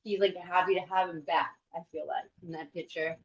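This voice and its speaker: A woman's voice: on the low side, steady tone, brisk. A woman co-hosting in casual chat, giving enthusiastic, informal reactions and often saying "like". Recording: dull, roomy, distant microphone.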